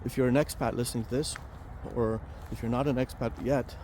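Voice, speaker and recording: A man hosting a casual vlog while walking outdoors near a highway, chatty and rambling with many fillers and restarts. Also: steady tone, brisk, warm tone, a little hiss or hum. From Romania. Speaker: vlog host, financial planner.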